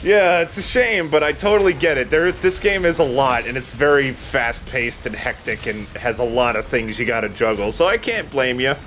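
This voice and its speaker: A man's voice: mid-range voice, normal speed, few pauses, fairly bright tone, slight background noise. A man giving casual, chatty, self-deprecating commentary while he plays a video game as host. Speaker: let's play host playing the game.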